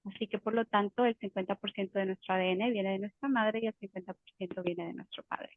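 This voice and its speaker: A woman's voice: medium pitch, fairly even intonation, quick pace, phone-quality sound. A woman, a genetic counselor, giving an explanatory webinar talk over a video call, with frequent fillers and restarts. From Colombia.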